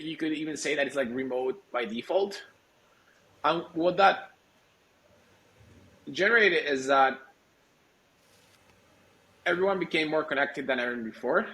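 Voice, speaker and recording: A man's voice: fairly high pitch, bright, forceful tone, poor audio. A man from Argentina, answering interview questions conversationally, searching for words as he explains. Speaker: startup executive, interview guest.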